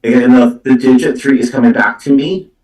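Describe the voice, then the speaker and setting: A man's voice: mid-range voice, fairly even intonation, darkish tone, recorded from a distance. Echoey man giving a psychic card reading. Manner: halting, repetitive, filler-heavy phrasing. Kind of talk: live spiritual reading for a caller. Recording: roomy, echoey, away from the mic.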